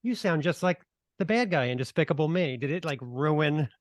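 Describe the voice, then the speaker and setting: A man's voice: fairly high pitch, lively intonation, moderate pace, dry recording. Guy cohosting a podcast, kidding around, dry close mic. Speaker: podcast co-host. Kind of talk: casual interview chat.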